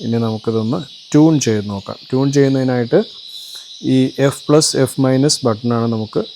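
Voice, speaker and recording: A man's voice: medium pitch, brisk, mellow, slight background noise. A man with a Malayali accent from Kerala presents an electronics demo, explaining things informally, step by step. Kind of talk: informal product demo and tutorial.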